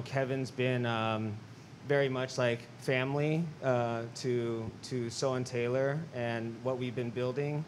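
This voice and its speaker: A man's voice: mid-range voice, a little hiss or hum. A man from Los Angeles speaking sincerely and thoughtfully about his art on a panel, with a handheld mic in a gallery. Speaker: artist, panelist. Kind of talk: panel talk. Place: large gallery, indoors.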